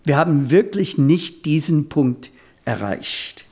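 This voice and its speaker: Slow-paced male preacher, non-native speaker. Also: on the high side, big pitch swings, a little mellow. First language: not German; speaks German as a second language. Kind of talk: sermon.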